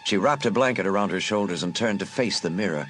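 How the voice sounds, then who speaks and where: A man narrating an audiobook drama in a measured, suspenseful way. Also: fairly low pitch, fairly bright tone. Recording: narration over a music bed.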